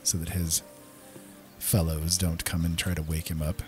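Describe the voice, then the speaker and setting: Male gaming host, low register, casual running commentary over clear background music. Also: flat delivery, moderate pace. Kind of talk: casual let's play commentary. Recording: game music behind voice. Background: game music and outdoor nature sounds.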